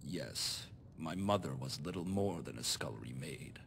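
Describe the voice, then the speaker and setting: A man playing a calculating, self-made nobleman in a video game, speaking in a formal, measured, composed way. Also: very deep voice, lively intonation, vocal fry, fairly bright tone, a little hiss or hum, exaggerated voice. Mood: cool, resolute. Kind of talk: scripted video game dialogue.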